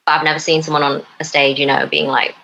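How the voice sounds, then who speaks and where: Young woman from Newcastle upon Tyne, a poet and podcast guest, low bright voice, hesitant and full of fillers. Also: little pitch movement, gravelly, low-quality recording. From Newcastle upon Tyne, England. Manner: hesitant, many pauses and fillers. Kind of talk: casual interview chat.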